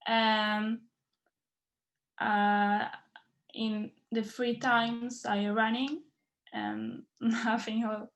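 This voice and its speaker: A teenage girl, a student, introducing herself on a call in a shy, halting way. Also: on the high side, flat delivery, a bit bright. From Italy. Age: teens. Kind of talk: casual video call introduction. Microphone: video call.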